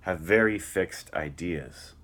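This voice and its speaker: A man's voice: deep voice, takes it slowly, a bit bright. A man giving a dramatic, theatrical spoken-word reading, moving between emphatic lines and muttered casual asides.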